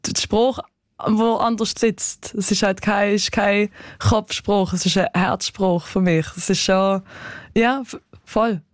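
A young Swiss woman, an actress, speaking with a Swiss German accent as a guest in a radio studio, talking with lively enthusiasm in quick bursts. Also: mid-range voice, no echo. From Switzerland. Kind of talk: casual radio interview.

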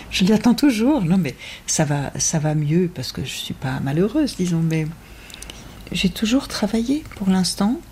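A woman's voice: lowish voice, very animated, a little mellow, a little hiss or hum. A woman in her fifties, an actress, speaking thoughtfully and wryly about her career in an interview. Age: 50s. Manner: thoughtful, measured, wry. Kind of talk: reflective interview talk.

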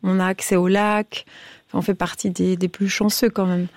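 Informal radio hostess, dry studio sound. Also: female voice, lowish voice, level tone, moderate pace, darkish tone, dry recording. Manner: effusive, informal, encouraging. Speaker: radio phone-in host. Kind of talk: casual radio chat with callers.

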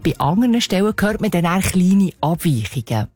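A woman radio reporter with a Swiss German accent and a slightly husky voice, narrating a scripted feature. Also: on the low side, very animated, normal speed, a little mellow, faint music behind.

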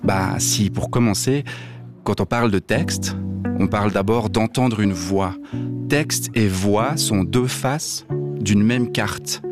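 A man's voice: fairly low pitch, slow. A man playing a deaf man who dislikes reading novels, speaking a blunt scripted radio monologue over music. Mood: earnest. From Switzerland. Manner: blunt, mildly provocative, direct address. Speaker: radio columnist.

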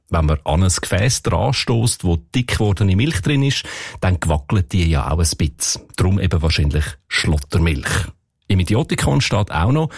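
A man's voice: sits low, husky, no echo, studio quality. A man with a Swiss German accent explaining as a dialect expert in a radio segment.

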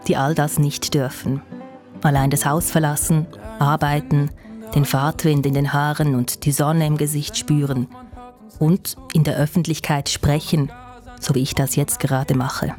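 A woman hosting a news podcast, narrating calmly and reflectively into a studio microphone. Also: sits low, normal speed, faint music behind. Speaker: podcast host.

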